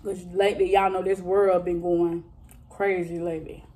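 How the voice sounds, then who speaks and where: A woman in her thirties chatting casually with her viewers during a mukbang eating video, talking straight to them with drawn-out exclamations between bites. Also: lowish voice, little pitch movement, warm tone. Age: 30s. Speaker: vlogger.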